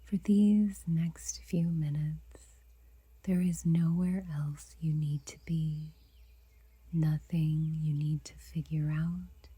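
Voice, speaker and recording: Woman doing guided meditation, super breathy whisper, slow and gentle, really dry close sound. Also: low-pitched, fairly even intonation, no echo. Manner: unhurried, gentle, long pauses.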